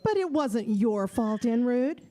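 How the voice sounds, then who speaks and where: A woman's voice: on the high side, expressive, a little slow, warm tone. A woman playing a sweet, wishful young fairy-tale heroine in a children's audio play, earnest and pleading. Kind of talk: children's audio theater acting.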